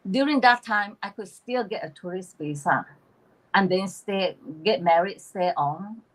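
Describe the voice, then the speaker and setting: A woman's voice: mid-range voice, a little slow, rough recording. A woman with a Malaysian accent, a guest telling her story in casual chat on a video call and laughing often. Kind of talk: casual chat on a live stream.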